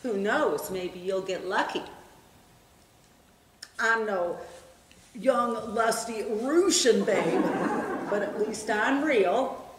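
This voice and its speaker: Actress playing a world-weary lady bartender, slow frank talk, dry humor, echoey room. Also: medium pitch. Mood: wry, coaxing. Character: wry, world-weary, straight-talking woman tending bar. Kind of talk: stage comedy dialogue. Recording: echoey room with background noise.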